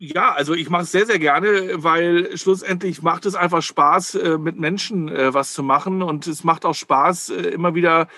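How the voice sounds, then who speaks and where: A man from Hamburg, a podcast guest who talks hesitantly and fills his pauses with many "äh" sounds. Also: fairly high pitch, sharp tone, dry recording, clean studio sound. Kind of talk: casual chat.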